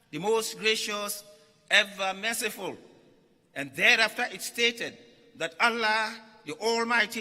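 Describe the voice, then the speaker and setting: Guy reading out a solemn religious speech, really sharp forceful voice, big reverby hall. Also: sits high. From Ghana. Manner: emphatic, solemn oratory with deliberate phrasing and repeated lines. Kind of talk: formal religious address read aloud from a text. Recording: echoey hall with long reverb.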